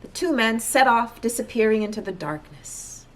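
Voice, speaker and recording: A woman reading a children's storybook aloud as an expressive narrator. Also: on the high side, normal speed. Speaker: storybook reader.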